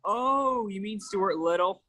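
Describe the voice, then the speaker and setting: A man's voice: high-pitched, animated, takes it slowly, pushed and bright, full character voice. A man reading the part of a friendly, talkative teenage boy new at school, in a table read over a video call. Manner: easygoing, chatty, slangy.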